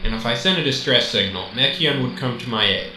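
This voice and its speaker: An actor playing a haughty, menacing robot master in an amateur radio drama, speaking formally and coolly. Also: male voice, medium pitch, lively intonation, brisk, over music, lightly acted. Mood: cool menace. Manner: formal, stilted, condescending. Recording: roomy echo, clearly noisy home recording.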